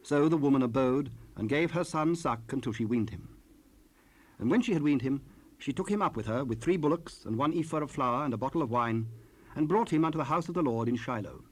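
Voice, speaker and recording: A man narrating scripture in a solemn, measured, formal style for an audio drama. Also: medium pitch, expressive.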